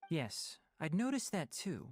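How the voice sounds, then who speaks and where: Lively-pitched, articulate young man. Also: measured pace, slightly rough, no echo, full character voice. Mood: thoughtful, caring. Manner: articulate, dryly candid, explanatory. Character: sharp, caring young male scholar and guardian. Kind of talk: video game dialogue acting.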